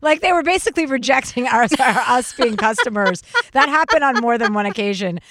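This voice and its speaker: A woman's voice: on the high side, lively intonation, brisk, a bit bright, a little hiss or hum. A woman hosting a podcast, telling stories in casual chat, swearing freely and mimicking people she quotes. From United States. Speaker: podcast host.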